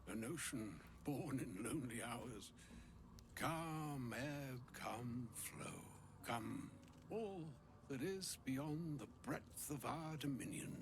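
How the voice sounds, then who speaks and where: An actor playing a solemn, kindly old wizard in a video game, with theatrical, archaic phrasing. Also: male voice, on the high side, gravelly, heavily acted. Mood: poetic, stately. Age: older. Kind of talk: video game dramatic acting. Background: music.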